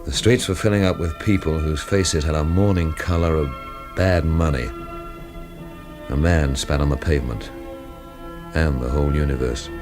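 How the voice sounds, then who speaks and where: An actor playing a weary, sardonic amateur investigator in a radio mystery, narrating and questioning in a dry, wry way. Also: male voice, a bass voice, fairly even intonation, normal speed, music in the background. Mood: brooding, bleak. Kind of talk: radio mystery drama acting and noir narration.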